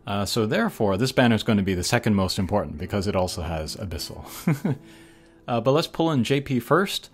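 A man's voice: on the low side, animated, fairly quick. A man hosting a gaming channel, giving casual, hesitant commentary as he thinks aloud over mobile game pulls. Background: game music.

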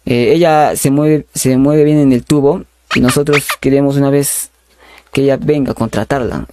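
A young man making a prank phone call as a polite, insistent recruiter, halting and repeating his words. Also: mid-range voice, steady tone, background noise. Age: young adult. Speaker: prank caller. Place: small bedroom studio indoors. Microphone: condenser microphone on a boom arm, close.

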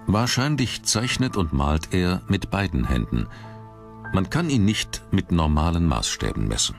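A man reading scripted documentary narration in a measured, dramatic manner. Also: sits low, very animated. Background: background music.

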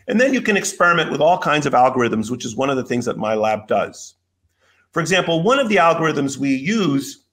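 An older man lectures from a home office over a webcam, explaining ideas step by step in a measured way. His voice sits a little high for a man, and he pauses on fillers and restarts as he thinks aloud. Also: fairly bright tone. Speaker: keynote speaker. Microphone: video call webcam.

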